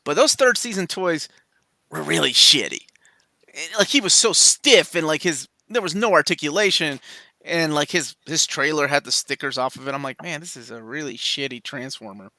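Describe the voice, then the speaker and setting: A man's voice: a little high, animated, moderate pace, sharp tone, no echo. A man in his forties from Peru, hosting a podcast and telling stories in casual chat. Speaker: podcast host.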